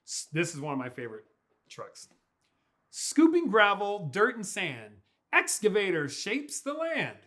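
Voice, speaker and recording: A young man reads a picture book to children. His voice sits high for a man and swings widely in pitch. He takes his time, stretching words out in a playful, expressive style. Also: a little slow. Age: young adult. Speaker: pastor reading to children. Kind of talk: animated children's storybook read-aloud. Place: small furnished room indoors.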